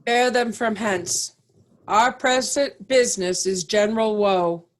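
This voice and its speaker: A woman's voice: medium pitch, slow pace, close to an everyday voice. A woman playing a grave, dignified noble duke in a Shakespearean play reading. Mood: grave, commanding.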